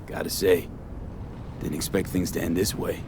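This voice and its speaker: A man's voice: sits low, fairly even intonation, moderate pace, background noise. A man playing a world-weary young mercenary in a video game, terse, wry and understated in a naturalistic style. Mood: reflective.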